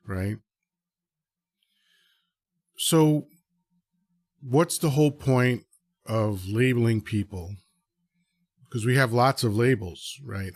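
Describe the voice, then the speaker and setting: Male podcast host, late fifties, from the Dominican Republic. Slow, reflective, conversational solo delivery in a dry, close space. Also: medium pitch, dry recording. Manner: conversational, musing, often checks with "right?".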